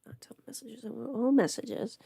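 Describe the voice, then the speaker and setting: A woman's voice: a little high, moderate pace, vocal fry, warm tone, a little hiss or hum. A woman who is sick, chatting casually on a livestream as host, thinking aloud and interrupting herself. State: sick at home with covid. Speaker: livestream host, audio creator. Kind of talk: casual rambling livestream chat. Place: at home.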